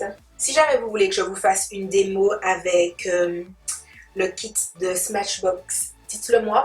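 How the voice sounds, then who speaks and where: A woman giving a casual makeup tutorial, chatting playfully in slang and addressing viewers like friends. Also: mid-range voice. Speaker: beauty vlogger demonstrating makeup. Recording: roomy, distant mic, music behind.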